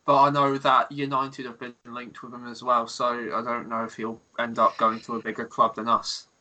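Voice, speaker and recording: Bright-voiced young man, hesitant. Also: medium pitch, moderate pace, poor audio. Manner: hesitant starts, then steady reasoning. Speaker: podcast co-host. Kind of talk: casual chat.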